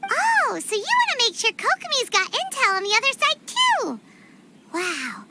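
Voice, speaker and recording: A woman voicing a tiny, chirpy female companion creature in a game cutscene, with a squeaky, childlike, bubbly way of talking. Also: wildly swooping pitch, moderate pace, very noisy, full character voice. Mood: curious. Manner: bubbly, chatty, third-person self-talk. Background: music.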